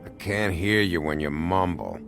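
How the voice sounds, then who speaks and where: A man's voice: deep voice, lively intonation, moderate pace, vocal fry, music in the background. An actor playing a drugged, belligerent, foul-mouthed man with a sardonic, needling drawl in a video game. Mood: smug, woozy.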